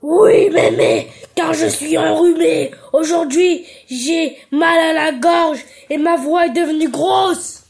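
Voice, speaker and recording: A woman's voice: extremely high pitch, slow, vocal fry, hardly any pauses, darkish tone, pitched above the performer's own voice, exaggerated voice. A woman voicing a sly male wolf who fakes a little girl's voice, drawling and wheedling.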